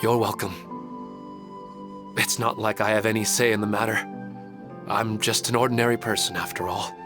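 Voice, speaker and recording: Actor as humble gentle father, lowish voice, polite and measured, game dialogue over music. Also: little pitch movement, lightly acted. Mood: humble acceptance. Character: gentle, humble family man, polite and resigned. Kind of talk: video game voice acting. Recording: music clearly behind the voice.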